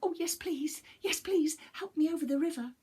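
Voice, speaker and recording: A woman voicing a small, frightened gingerbread man in a children's story, with a high, squeaky, wailing voice. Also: moderate pace, dark tone, lightly acted. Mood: eager. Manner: frightened, fretful cries.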